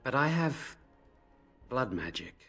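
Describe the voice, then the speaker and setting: An actor playing a guilt-ridden young mage in a video game, explaining things gravely and with reluctance. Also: male voice, medium pitch, sing-song, takes it slowly, a bit bright, soft background music, exaggerated voice. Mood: grave, reluctant. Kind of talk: dramatic video game acting.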